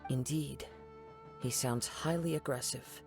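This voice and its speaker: An actor playing a composed, courteous young samurai in an anime game, speaking in a calm, measured, formal way with music behind him. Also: male voice, mid-range voice, vocal fry.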